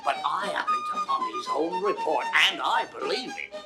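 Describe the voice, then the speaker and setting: A man's voice: sits high, moderate pace. A man playing a proud, garrulous old shepherd, a doting rustic father, rambling and boastful in classical Shakespearean stage style. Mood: proud, fond. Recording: lively flute and fiddle music behind.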